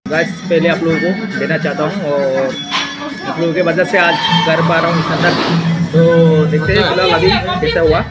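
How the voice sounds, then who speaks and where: An excited young man holds his phone at arm's length and talks straight to his viewers in a busy restaurant. His voice sits a little high. Loud music plays under everything he says. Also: normal speed. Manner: excited, talks to viewers, mixes in English words. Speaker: food vlogger. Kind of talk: casual vlog commentary. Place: busy restaurant on opening day, indoors. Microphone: phone held at arm's length.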